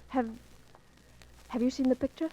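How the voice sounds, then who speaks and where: An insistent, anxious woman, played by a radio actress in a dull 1940s recording. Her voice sits high, and she pleads with urgent, theatrical intensity. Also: moderate pace, mellow, slight background noise, lightly acted. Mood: pleading. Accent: foreign. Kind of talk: 1940s radio drama acting.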